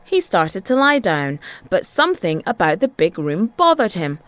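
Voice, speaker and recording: A woman reading a children's tale in an expressive, dramatic storytelling style with rhythmic phrasing. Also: medium pitch, normal speed, dry recording.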